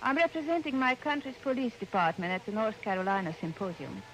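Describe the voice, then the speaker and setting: A woman's voice: mid-range voice, sing-song, quick pace, lightly acted. A woman acting as a poised, polite young Swiss policewoman in an old radio drama, speaking in a formal manner. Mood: friendly. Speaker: visiting policewoman. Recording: noisy old-time radio recording.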